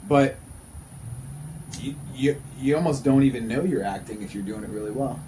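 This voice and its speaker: A man's voice: medium pitch, normal speed, mellow, slight background noise, poor audio. A man in his thirties talking casually and earnestly as a podcast host, often repeating himself for emphasis. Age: 30s. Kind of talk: casual conversational podcast talk.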